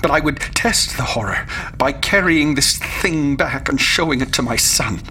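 An actor playing a haunted explorer in a radio horror drama, narrating a terrifying ordeal with intense, deliberate and sometimes hushed phrasing. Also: male voice, mid-range voice, expressive, over music, natural voice. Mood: fearful, panicked. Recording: clear background noise and ambience.

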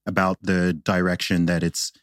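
A man's voice: low voice, little pitch movement, normal speed, dry recording. A man hosting a podcast interview in a studio, asking questions in an eager, conversational way. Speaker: podcast host.

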